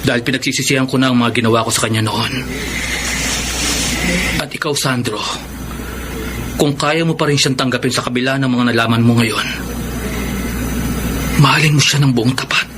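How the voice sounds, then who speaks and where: A man's voice: mid-range voice, fairly even intonation, normal speed, long pauses, natural voice. An actor playing a remorseful young man in a Filipino radio drama, with an earnest, confessional delivery and a Filipino accent. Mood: guilty, remorseful. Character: remorseful young man who jilted his bride. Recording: noisy radio drama recording.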